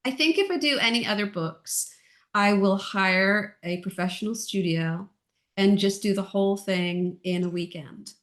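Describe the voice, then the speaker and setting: A middle-aged woman from Ireland with a softened Irish accent, chatting as an interview guest in a gentle, reflective way. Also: medium pitch, measured pace. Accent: Irish, softened by years in Texas. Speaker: guest, author and audiobook narrator. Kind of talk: casual interview chat.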